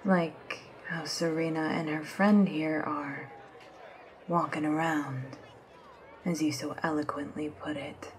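A smug, menacing woman of mystery played by an actress in an ASMR drama. She taunts in a low, slow half-whisper, with faint ambience behind her. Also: fairly even intonation, slight background noise. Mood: smug, taunting. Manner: taunting, drawling.

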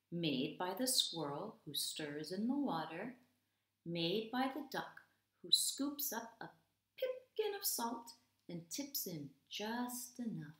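A woman's voice: on the low side, fairly bright tone. A woman in her thirties, an English teacher, reading aloud expressively and explaining simply to children. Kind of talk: expressive picture-book read-aloud for young learners. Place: small home room, indoors. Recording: roomy home video sound.

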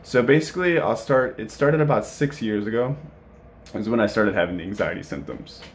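A man in his late twenties with a somewhat low voice speaks informally to camera at home. His delivery is casual and earnest, like a personal video diary recorded in a room. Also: fairly quick, a little hiss or hum. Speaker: vlogger.

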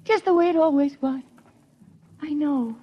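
Distraught, delusional mother, 1950s radio drama. High, halting delivery, dull period sound. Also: a little hiss or hum. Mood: dreamy yearning. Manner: halting, repetitive.

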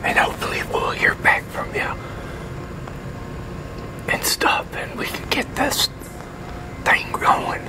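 Older man whispering slowly and haltingly. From United States. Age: 60s. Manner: hesitant, halting. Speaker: ASMR creator playing a leasing agent. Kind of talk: whispered ASMR roleplay. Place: outdoor covered picnic pavilion with metal tables.

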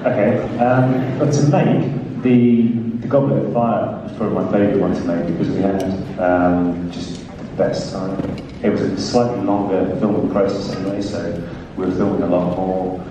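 A man's voice: low voice, unhurried. A young man chatting casually on stage as a convention guest, answering fan questions into a handheld mic. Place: large convention hall stage. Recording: echoey hall from far off, crowd noise.

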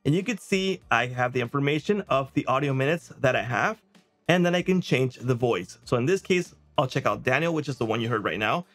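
A man in his thirties giving a casual, conversational software demo and checking in with the listener. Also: mid-range voice, expressive, fairly quick. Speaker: presenter of software review videos. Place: small indoor home studio. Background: background music.

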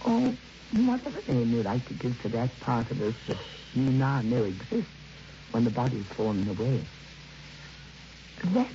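A kindly middle-aged woman in an old radio play. Her voice is very low and mellow, moving expressively as she earnestly counsels. The sound is muffled, with faint noise. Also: heavily acted. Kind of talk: old radio drama acting.

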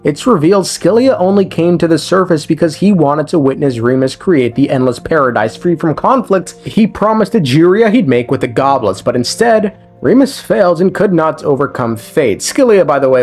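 A man's voice: a little high, darkish tone. A man giving a casual, conversational recap of a video game story as a lore commentator, with slang and swearing. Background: game music.

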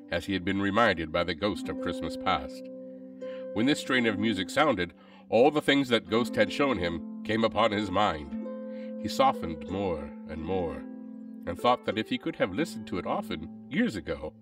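A man narrating a classic tale as a dramatic storybook reader, with measured, solemn phrasing. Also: sits low, far from the mic.

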